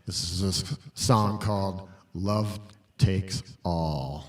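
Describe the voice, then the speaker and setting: A man's voice: deep voice, slow, echoey room, far from the mic. A man speaks as a guest musician at a live performance, introducing a song in an offhand, casual way. There is prominent guitar music in the recording.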